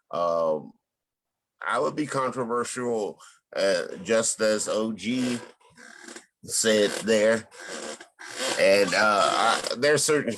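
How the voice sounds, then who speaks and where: Halting, lower-voiced man. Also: a bit bright, a little hiss or hum, rough recording. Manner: halting, deliberate, many pauses and ums. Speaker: stage play cast member, artist. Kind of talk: informal panel interview.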